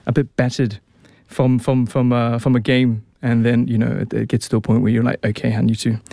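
Chatty young New Zealand tenor. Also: male voice, medium pitch, little pitch movement, fairly quick, vocal fry, warm tone, no room sound. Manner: chatty, many restarts and fillers. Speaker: opera tenor, guest. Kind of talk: relaxed radio interview.

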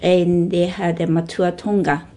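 Slow-speaking woman. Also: on the low side, level tone, dark tone. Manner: halting, simple phrasing. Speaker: volunteer cook.

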